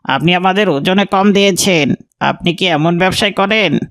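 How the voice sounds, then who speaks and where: Young cartoon hooligan, male, played by a man: brash, conniving type with a high voice. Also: normal speed, fairly bright tone. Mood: accusing. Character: young male troublemaker, hired false accuser. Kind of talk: children's moral cartoon dialogue.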